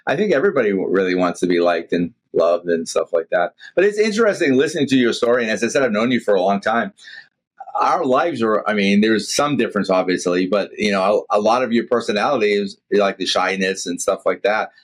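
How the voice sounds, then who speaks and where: Male podcast host, deep baritone, loose and rambling, lots of affirmations. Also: expressive, a little fast, breathy edge. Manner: rambling, with many restarts and affirmations. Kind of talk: casual interview chat.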